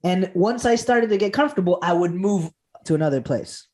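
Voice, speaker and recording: A man's voice: high voice, animated, fairly quick, a little mellow. A man talks as a guest on a podcast. He tells stories with enthusiasm, cracks jokes and laughs easily. He often restarts his words as he goes. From Costa Rica. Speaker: guest comedian and speaker. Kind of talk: animated podcast storytelling.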